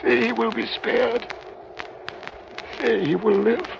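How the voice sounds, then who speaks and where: Creaky, high-pitched anguished old miser. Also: male voice, steady tone, exaggerated voice. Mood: desperate pleading. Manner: pleading, halting, repeating himself. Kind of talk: 1930s radio drama acting. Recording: muffled, noisy old radio broadcast.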